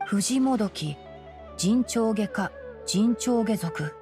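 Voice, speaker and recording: A woman voicing a calm young male fox-eared forest scholar in a Japanese anime game, explaining in a measured, boyish way. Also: mid-range voice. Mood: quietly reproving. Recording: music behind the voice.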